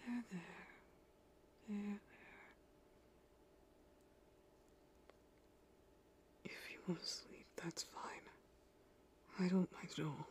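A woman voicing a gentle, caring teenage boy in an anime audio roleplay, soothing a partner in a breathy voice that often drops to a whisper. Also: mid-range voice, lightly acted. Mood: hushed soothing. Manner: soothing, tender, slow.

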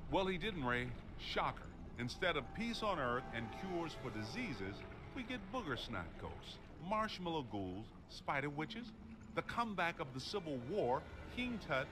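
An actor playing a sardonic, exasperated ghost hunter in a video game, with a dry, sarcastic delivery. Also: male voice, fairly high pitch, very animated, measured pace.

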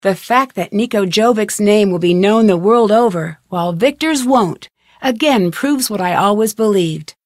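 A woman's voice: medium pitch, moderate pace, dry recording, clean studio sound, natural voice. An actress playing a young female detective, narrating in a composed, articulate storytelling way for a video game. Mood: composed, reflective. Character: young woman detective narrating an epilogue letter.

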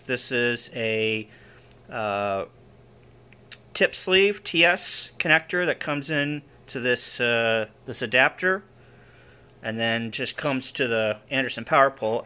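A man talks through a piece of gear in an informal walkthrough. His voice is bright and forward, at a middling pitch. He goes slowly, one step at a time, in short halting phrases. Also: clean tone. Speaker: amateur radio hobbyist demonstrating equipment. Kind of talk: informal hands-on demonstration. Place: indoor room with equipment on folding tables. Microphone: handheld camera or phone, operator behind it.

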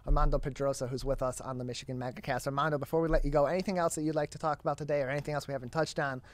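A man's voice: on the high side, steady tone, very fast. A young American man hosting an interview and promoting events, speaking into a close studio microphone. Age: young adult. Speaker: talk show host. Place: indoor studio. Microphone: broadcast microphone on a boom arm, close.

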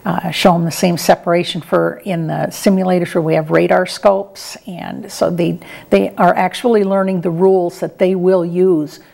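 A woman's voice: lowish voice, fairly even intonation, moderate pace, creaky, few pauses, a little mellow. A middle-aged woman answering interview questions in a conversational, plain-spoken and somewhat hesitant way. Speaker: interview guest, retired air traffic controller and professor.

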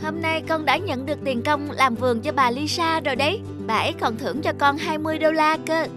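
A woman's voice: high voice, brisk, over music, lightly acted. A woman voicing an eager, polite, hardworking young boy in a cartoon, with an earnest manner.

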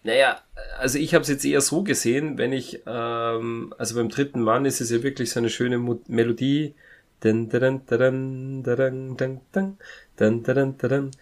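A man's voice: mid-range voice, steady tone, normal speed. A man chatting casually as a podcast co-host, feeling his way hesitantly through his sentences. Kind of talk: casual chat.

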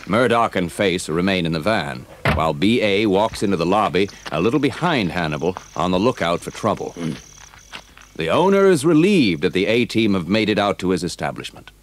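Low-voiced, animated male story narrator. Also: normal speed. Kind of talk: scripted story narration for 1980s audio drama. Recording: noisy old cassette transfer.

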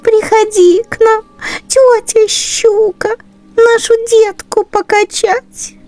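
A woman's voice: very high-pitched, flat delivery, slow pace, darkish tone, no room sound, soft background music, pitched above the performer's own voice, heavily acted. A woman voicing an anxious mother mouse in a storybook, pleading in a sweet sing-song way.

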